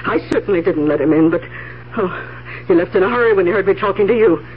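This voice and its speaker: An actress playing an anxious, indignant elderly aunt in a radio drama, halting and repeating her words as she goes. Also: fairly low pitch, lightly acted. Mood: shaken, indignant. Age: older. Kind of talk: 1970s radio drama acting. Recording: muffled, faintly hissy vintage radio recording.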